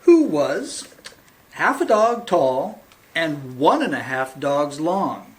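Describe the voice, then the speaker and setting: A man reading a picture book aloud to children as a librarian at storytime, with theatrical, deliberate phrasing. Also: on the high side, sing-song.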